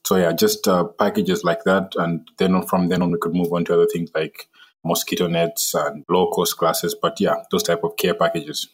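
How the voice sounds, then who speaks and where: Deep flat-voiced man from Malawi. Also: moderate pace, breathy edge. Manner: steady explaining, occasional "uh". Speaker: drone startup co-founder. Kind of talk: casual interview talk.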